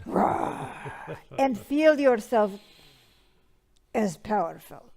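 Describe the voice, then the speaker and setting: A woman's voice: a little high, expressive, unhurried, darkish tone. A woman voicing a flamboyant, dramatic dead old madame who calls people darling and gives advice. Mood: theatrical. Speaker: advice show persona.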